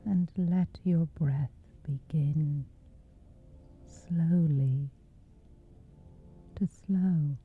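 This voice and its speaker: Slow whispering woman guiding sleep meditation. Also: low-pitched. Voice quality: soft whisper. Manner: slow gentle soothing delivery. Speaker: meditation guide. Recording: lo-fi narrow sound with soft music.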